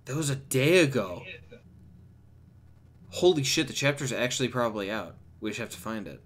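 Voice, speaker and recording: A man's voice: expressive, moderate pace. A man hosting a livestream, chatting casually and swearing freely as he goes. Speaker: streamer hosting a voice-chat livestream. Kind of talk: casual, profane live chat. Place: livestream from a computer setup. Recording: bright home mic with noise, rumble and typing. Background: noise, rumble and keyboard typing.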